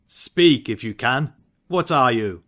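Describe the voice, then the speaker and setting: A man playing a commanding, ambitious warrior lord in a dramatic Shakespeare reading, speaking deliberately. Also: mid-range voice, expressive, fairly bright tone. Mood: wary.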